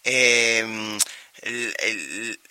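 A man's voice: lowish voice, drawn-out words, pushed and bright, smooth, dry recording. A man with an Argentine accent, interviewing a guest in a curious, easygoing way. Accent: Argentine Spanish. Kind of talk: conversational interview.

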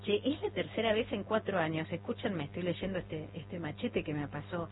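A woman from Argentina with a Rioplatense accent, hosting a radio interview in a chatty, animated and informal way. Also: on the low side, normal speed. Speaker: radio host. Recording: phone-like radio broadcast with faint noise.

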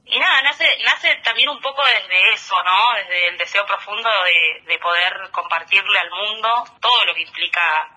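A woman's voice: mid-range voice, moderate pace, hardly any pauses, bright, forceful tone, slightly rough, low-quality recording. A woman in her thirties with an Argentine accent, a teacher guest on a radio interview, speaking loosely with frequent laughs and hesitations. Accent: Argentine Spanish. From Argentine Patagonia.

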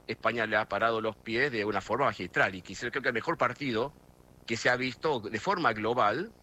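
Hesitant Argentine man, bright voice. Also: medium pitch, a little fast. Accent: Argentine, Buenos Aires area. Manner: talkative, many hesitations and restarts. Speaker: guest correspondent. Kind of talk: radio phone interview.

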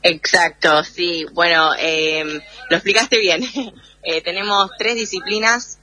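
A woman's voice: lowish voice, fairly even intonation, slow, bright, forceful tone, slight background noise. A woman born in the United States, an athlete guest speaking by phone on a radio show and explaining her sport in a friendly way. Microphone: phone call over messaging app. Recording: phone line that cuts out.

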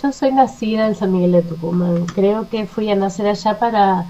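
A middle-aged woman with an Argentine accent, answering reflectively in a conversational interview, pausing and searching for words. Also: mid-range voice, dark tone. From Argentina, Buenos Aires province. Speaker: interview guest, poet. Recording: muffled lo-fi with mains hum.

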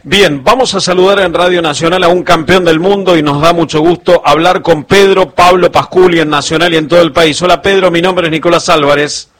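A man's voice: a little high, steady tone, fairly quick, few pauses, fairly bright tone. A man with an Argentine accent hosting live sports talk and interviews on the radio. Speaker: radio host.

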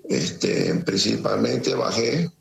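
A middle-aged man from Caracas with a Venezuelan accent, giving an earnest, halting account as an interview guest with many hesitations. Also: lowish voice, vocal fry. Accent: Venezuelan Spanish. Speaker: interview guest, search volunteer.

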